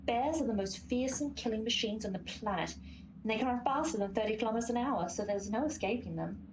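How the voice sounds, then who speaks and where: Pestilence's horse, acted by a woman: scheming, grandiose radio-comedy schemer, highish voice, noisy soundscape behind. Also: quick pace, lightly acted. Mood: coolly persuasive. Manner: grandiose, silky, persuasive. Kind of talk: comic radio play acting.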